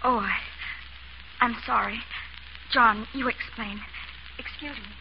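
An actress playing an anxious, genteel horse owner's wife in a 1930s radio drama, hesitant and apologetic. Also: female voice, a little high, steady tone, slow pace, creaky. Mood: uneasy. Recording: scratchy, dull old radio broadcast.

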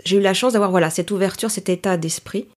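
A middle-aged woman, a coach, answering interview questions in a calm, measured way with frequent hesitations. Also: medium pitch. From France. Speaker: coach, guest. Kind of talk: podcast interview.

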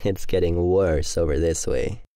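A man's voice: low voice, steady tone, a little slow, dark tone, no echo, clean studio sound. A man playing a mean, mocking demon university bully in an audio roleplay, with a taunting delivery. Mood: sneering contempt.

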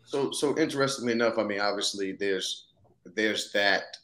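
Actor, male, thirties, American. Lowish voice, informal, searching delivery, recorded in a reverberant room. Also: normal speed, fairly bright tone. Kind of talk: casual conversation. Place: indoors, on a video call. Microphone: video call. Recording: echoey room on video call.

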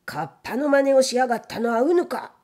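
A woman voicing a rough-spoken male gatekeeper who blusters while scared, in a period storybook tale. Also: high-pitched, lively intonation, quick pace, a little mellow, pitched above the performer's own voice. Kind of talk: dramatic storytelling of a period novel.

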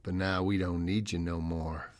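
An actor playing a cold, smug bandit in a western game, speaking with dry menace. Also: male voice, sits low, level tone, moderate pace, no room sound, lightly acted. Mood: smugly menacing. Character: cold, smug bandit dropping his lawman disguise. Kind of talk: western video game acting.

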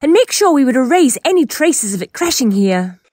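A woman as a high-voiced, helpful AI. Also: big pitch swings, normal speed, dark tone, dry recording, clean studio sound. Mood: upbeat. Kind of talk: audio drama narration.

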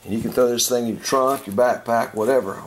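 A man hosting a casual hands-on product review, talking in a folksy, informal, halting way. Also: mid-range voice, steady tone. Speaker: host of a product review video channel. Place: at a tabletop, later out in a backyard. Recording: clear background noise, home camera recording.

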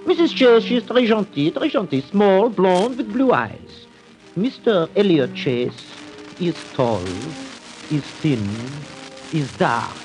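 An actor playing a fussy, excitable French hotel manager with a French accent and broken English in a radio play. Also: male voice, sits high, unhurried, faint music behind, poor audio. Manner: theatrical, exclamatory, broken English.

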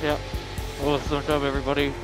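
A man's voice: on the high side, monotone, fast talker, low-quality recording. A man, a racing driver, talking casually and briefly over team radio. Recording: car radio with engine noise and music.

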